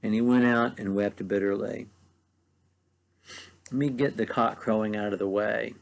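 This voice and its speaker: A man's voice: fairly low pitch, fairly even intonation, moderate pace, vocal fry. A man with an Ohio Valley accent giving a short devotional Bible teaching in an earnest, conversational way, with frequent ums. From mid-Ohio Valley, USA. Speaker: preacher.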